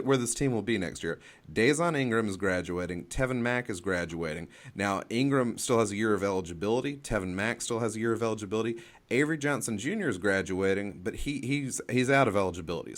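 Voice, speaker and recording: A man co-hosting a sports talk show, analysing off the cuff and often repeating words and phrases as he goes. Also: lowish voice. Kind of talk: unscripted sports discussion and analysis.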